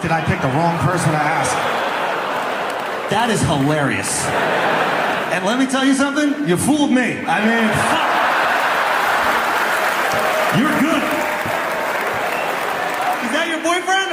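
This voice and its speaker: Male stand-up doing crowd work, high-pitched, profane, live echoing hall. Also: lively intonation, a little slow, pauses often, very noisy. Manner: loud, profane, teasing. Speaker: stand-up comedian. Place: comedy venue.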